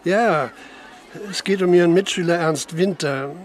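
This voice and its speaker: An actor in a radio drama playing a sober police investigator with a measured, matter-of-fact delivery. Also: male voice, a little high, a faint crowd, lightly acted. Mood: questioning. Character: sober, dutiful male police investigator, also recounting the case. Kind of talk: German radio drama acting and narration.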